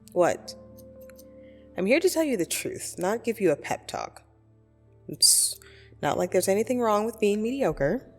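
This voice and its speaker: A woman playing a blunt, teasing yet soothing dream demon in an ASMR roleplay, speaking deliberately and intimately with gentle condescension. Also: fairly low pitch. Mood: blunt, candid. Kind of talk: ASMR roleplay audio drama. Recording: soft music behind the voice. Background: soft meditation music.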